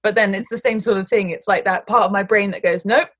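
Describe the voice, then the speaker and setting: A woman's voice: medium pitch, fairly even intonation, fast talker, a bit bright, dry recording, studio quality. Host voice: woman, chatty and rambling, lots of fillers, playful asides. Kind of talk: casual chat.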